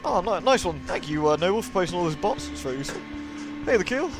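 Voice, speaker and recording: Lively, higher-pitched British man livestreaming over music. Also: fast. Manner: chatty, friendly, informal with mild swearing. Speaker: live streamer, host. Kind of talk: casual livestream chat, greeting and answering viewers. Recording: music playing behind the voice. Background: moody music.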